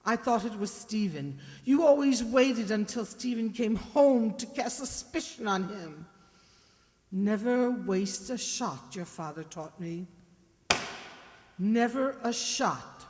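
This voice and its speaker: An actress playing an ailing, stern older stepmother in a radio play, with halting, weighty delivery. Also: medium pitch, expressive, darkish tone. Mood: stern, accusing. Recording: echoey hall, long reverb.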